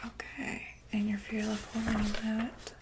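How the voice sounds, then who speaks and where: A woman whispering softly and breathily in a gentle, reassuring ASMR medical roleplay, speaking close to the microphone. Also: a little high, monotone, moderate pace, heavy background noise. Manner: gentle, reassuring, hesitant check-up patter. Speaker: ASMR roleplayer playing a doctor for monsters.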